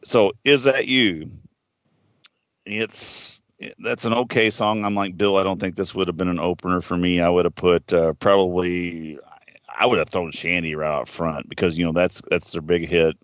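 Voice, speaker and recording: A man in his fifties with a fairly low voice talks casually on a podcast, rambling and cursing freely. The sound is dry and close, with a dull, lo-fi tone. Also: fairly even intonation, normal speed, dry recording. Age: 50s. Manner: rambling, profane, frequent restarts. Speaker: podcast co-host. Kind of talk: casual chat.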